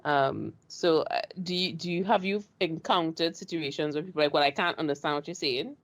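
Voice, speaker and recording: A woman from Trinidad with a Trinidadian accent hosting an interview, chatty and animated as she tells her own stories. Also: low-pitched, a little fast. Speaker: show host. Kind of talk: casual interview chat.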